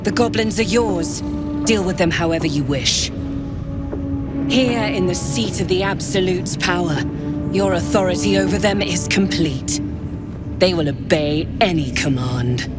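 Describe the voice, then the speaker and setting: Low-voiced, dutiful cult lieutenant played by a woman. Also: measured pace, loud music behind the voice, close to an everyday voice. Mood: brisk command. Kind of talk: dramatic fantasy game acting.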